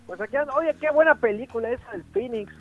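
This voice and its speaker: A man's voice: extremely high pitch, fast talker, phone-quality sound, soft background music. A man voicing a crude, boastful Mexican character with a put-on Mexican accent who ends his lines with swear words. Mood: brash. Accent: put-on Mexican Spanish. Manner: ends lines with "cabrón", brash and mocking. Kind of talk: comedy bit in a radio show.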